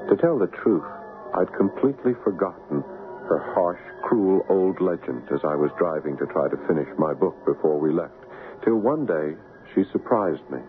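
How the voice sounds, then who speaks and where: An American writer husband, sceptical yet concerned. His voice is low and moves expressively up and down as he questions and narrates. The 1970s radio drama sound is thin and tinny. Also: lively intonation, moderate pace, music in the background. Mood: rueful narration. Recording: tinny, noisy 1970s radio broadcast recording.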